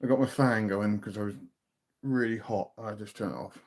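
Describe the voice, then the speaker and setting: A British man from the UK hosting a casual livestream, chatting loosely and reading out viewers' questions, often trailing off into quieter asides. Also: on the low side, fairly even intonation, moderate pace. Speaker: livestream host. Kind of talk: casual live chat reading viewer comments.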